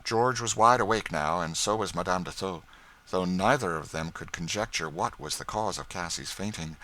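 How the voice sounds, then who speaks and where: A man reading scripted literary narration as an audiobook narrator, in a measured storytelling manner. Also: low voice, pushed and bright.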